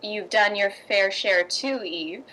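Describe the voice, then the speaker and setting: A woman playing a poised, worldly, reassuring playwright's wife in 1950s radio drama style, wry and measured. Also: mid-range voice, bright, forceful tone, a little hiss or hum, low-quality recording.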